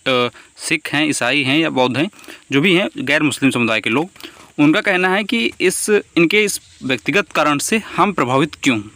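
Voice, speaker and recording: Higher-voiced man explaining like a teacher. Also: dry recording. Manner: didactic, explains terms and restates them in other words. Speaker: educational video presenter. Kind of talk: explanatory lecture on current affairs.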